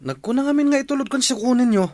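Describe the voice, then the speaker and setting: A man's voice: very high voice, rapid-fire, darkish tone. A man playing an irritable, lazy husband who grumbles dismissively in a radio drama. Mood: irritated.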